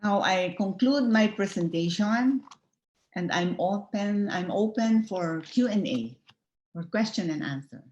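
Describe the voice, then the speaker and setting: A woman giving a formal, measured conference slide presentation. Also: medium pitch, animated, a little mellow, lo-fi sound. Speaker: presenter from an environmental organization. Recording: echoey room sound.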